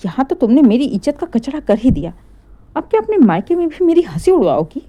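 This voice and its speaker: A woman's voice: high-pitched, sing-song, a little fast, warm tone, voice pushed up. A woman voicing a proud older mother-in-law in a family story, bossy, defensive and scolding. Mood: flustered scolding.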